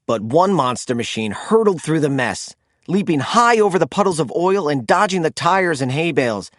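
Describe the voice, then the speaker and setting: A man narrating a children's storybook in an expressive, animated read-aloud style. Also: fairly high pitch, normal speed, dry recording, clean studio sound.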